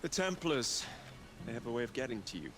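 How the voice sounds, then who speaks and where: Casting: cheeky young British male rogue, lively swings in pitch, game cutscene over music. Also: a little fast. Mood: dry. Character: brash, blunt, impulsive young male rogue. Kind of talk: dramatic game cutscene acting.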